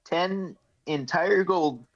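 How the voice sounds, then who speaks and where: A man's voice: high voice, very slow, dry recording. Wry man on a dull lo-fi mic. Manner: wry, sarcastic. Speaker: player in tabletop game. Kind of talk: casual game chat. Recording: dull lo-fi mic, music behind. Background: music.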